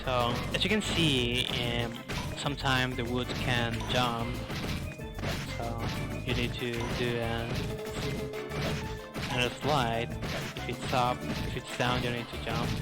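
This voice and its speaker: A man's voice: medium pitch, fairly even intonation, slow. A man giving casual live speedrun commentary in non-native English phrasing, with video game music and effects behind him.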